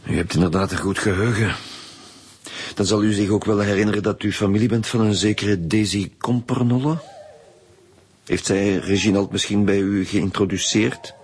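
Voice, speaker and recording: An actor playing a dry, businesslike homicide chief inspector in a radio drama, questioning formally with a Flemish accent. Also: male voice, lowish voice, steady tone, normal speed, a little mellow, breathy edge. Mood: probing, sceptical.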